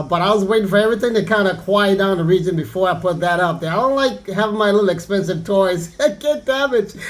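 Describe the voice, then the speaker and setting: High voiced guy doing a casual ham radio chat, real easygoing. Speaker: amateur radio operator.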